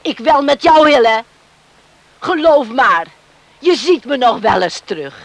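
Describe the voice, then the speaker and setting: A woman's voice: sits high, big pitch swings, measured pace, dry recording, exaggerated voice. An actress playing a middle-aged, protective mother who scolds plainly and emphatically in a Dutch radio drama. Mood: indignant, defiant. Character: indignant, protective island mother confronting her son's would-be father-in-law.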